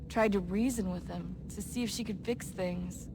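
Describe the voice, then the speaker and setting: A woman's voice: medium pitch, normal speed, vocal fry, noisy background, lightly acted. An actress playing an earnest, troubled young woman in a modern covert team, speaking hesitantly and sincerely in a video game. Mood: sad, bitter. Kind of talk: naturalistic video game acting.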